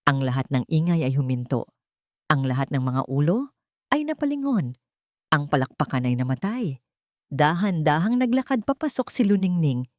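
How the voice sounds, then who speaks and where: A woman's voice: low-pitched, expressive, normal speed, a little mellow, dry recording, studio quality. A woman with a Filipino accent narrating a scripted dramatic story.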